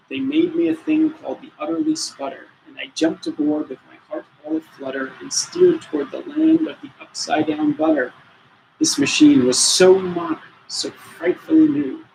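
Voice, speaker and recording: A man's voice: on the high side, big pitch swings, moderate pace, gravelly, a little mellow, a little hiss or hum, low-quality recording. A man reading a rhyming storybook aloud with theatrical, rhythmic expression. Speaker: storybook reader.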